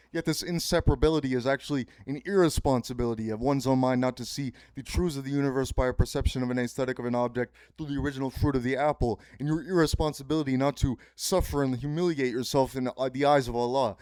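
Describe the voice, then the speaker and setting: A man's voice: mid-range voice, a little mellow, clean tone, no room sound. Man rambling grandly, dry close sound. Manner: grandiose, pseudo-philosophical preaching with sudden profanity; repetitive, circling phrasing. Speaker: podcast host. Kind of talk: rambling solo podcast monologue.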